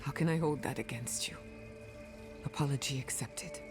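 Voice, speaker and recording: A woman playing a wounded, sharp-tongued animancer in a fantasy game, emotional and sardonic. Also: extremely low pitch, normal speed, over music. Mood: reluctant forgiveness. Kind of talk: fantasy video game acting.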